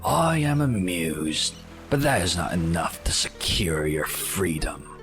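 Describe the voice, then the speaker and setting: An actor playing a haughty, menacing ancient sea-raider captain in an audio drama, speaking with slow, deliberate contempt. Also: male voice, low-pitched, animated, over music, full character voice. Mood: amused contempt.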